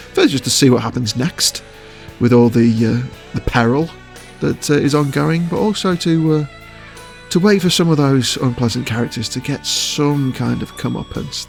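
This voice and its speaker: A man's voice: mid-range voice, gravelly. A man giving casual interview answers as a voice actor, choosing his words with hesitation and often chuckling.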